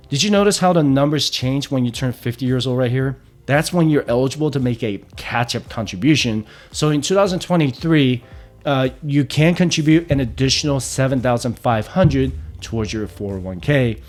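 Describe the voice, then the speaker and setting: A man in his thirties talks through the figures in a steady explainer tone. His pitch sits right in the ordinary middle range for a man. Soft music plays faintly underneath. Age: 30s. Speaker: personal finance channel host. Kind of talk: explainer walking through retirement numbers.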